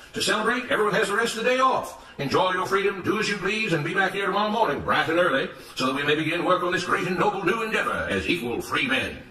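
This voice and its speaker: A man voicing a smooth-talking, self-righteous plantation overseer in a storybook, speaking in a declamatory, emphatic way. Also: high voice, roomy sound, distant mic, voice pushed up, low-quality recording. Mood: grand, triumphant. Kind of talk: dramatised storybook narration.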